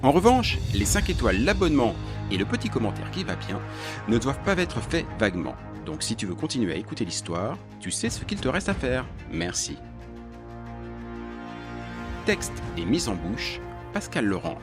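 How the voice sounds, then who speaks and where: A man's voice: medium pitch, very animated, moderate pace. A male announcer reading a playful scripted outro for a podcast, with music behind. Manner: playful, selling.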